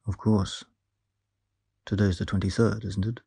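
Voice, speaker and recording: A man's voice: fairly low pitch, flat delivery, a little slow, mellow, slightly rough, dry recording, exaggerated voice. An actor playing a grave, cautioning male investigator of a town's mysteries in an audio drama. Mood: knowing.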